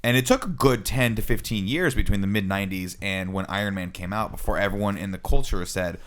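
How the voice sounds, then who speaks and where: A man's voice: lowish voice, a little fast. An American man in his thirties co-hosting a casual panel podcast, earnestly explaining and posing questions in a rambling way. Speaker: podcast co-host introducing his topic.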